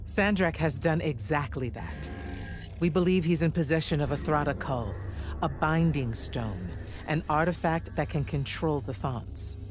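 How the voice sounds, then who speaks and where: A woman playing an authoritative senior commander mage in a video game, explaining in a grave, measured way. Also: sits low, over music. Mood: grave, explanatory. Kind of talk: video game cutscene acting.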